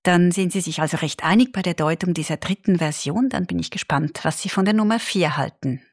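A woman's voice: on the low side, brisk, breathy edge. Woman hosting a music discussion. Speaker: radio music show host. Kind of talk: hosting a record comparison discussion.

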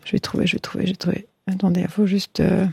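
A woman's voice: medium pitch, flat delivery, quick pace, vocal fry, mellow, husky, dry recording. A woman hosting a radio phone-in, chatting casually and effusively with callers in an encouraging way.

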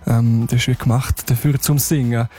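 A man's voice: mid-range voice, steady tone, normal speed, mellow, breathy edge. A man who is a native Romansh speaker, a singer talking casually and reflectively in an interview.

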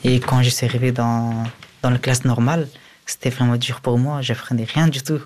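A man's voice: mid-range voice, flat delivery, normal speed, background noise. Young man recounting his life, non-native French. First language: a language other than French. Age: young adult. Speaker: interviewee. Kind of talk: personal testimony in an interview.

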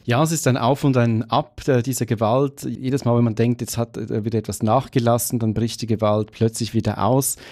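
A man's voice: medium pitch, fairly even intonation, a little fast, hardly any pauses, warm tone, no room sound, studio quality. A man working as a foreign correspondent, explaining events in a radio news interview.

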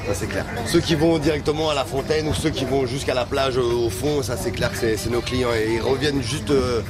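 A man's voice: mid-range voice, brisk, over music. A man who works at a harbor bar, talking in a plain, matter-of-fact way in a casual interview. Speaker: bar worker.

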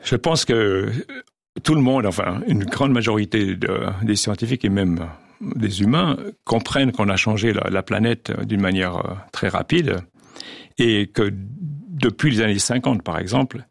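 A man's voice: fairly low pitch, lively intonation, unhurried, gravelly, no echo, studio quality. An older man, a geologist and oceanographer, explains his research in a radio interview, hesitant with many restarts.

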